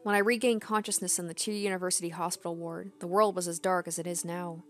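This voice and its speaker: A woman's voice: fairly low pitch, steady tone, quick pace, soft background music. A young adult woman game streamer reading story narration aloud and adding casual commentary.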